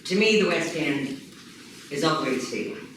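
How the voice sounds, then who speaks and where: Emphatic woman in an echoey meeting room. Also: sits low. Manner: energetic, emphatic, lists things rapidly. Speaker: county commissioner candidate. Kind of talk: campaign talk at a community meeting. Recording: echoey room, distant mic, background noise.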